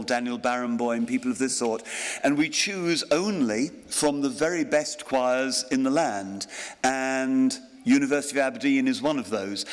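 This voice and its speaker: An older man gives a ceremonial address at a graduation. His tone is self-deprecating, with pauses and ums, and his voice sits at an ordinary pitch for a man. The podium mic carries a long reverb from the big hall. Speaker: honorary graduate giving a speech.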